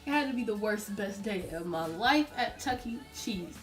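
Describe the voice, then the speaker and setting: A woman's voice: mid-range voice, expressive, normal speed. Chatty young woman storyteller, music behind. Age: young adult. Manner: chatty, dramatic, acts out quotes. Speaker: vlogger telling a personal story. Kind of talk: casual animated storytelling to camera.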